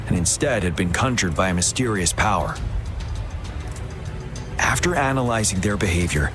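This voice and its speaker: An actor voicing a stern, dutiful young male general who speaks in a measured, earnest and formal way in a game cutscene. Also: lowish voice, lightly acted. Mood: briefing. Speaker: general leading the defence. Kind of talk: voiced video game cutscene. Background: cinematic music.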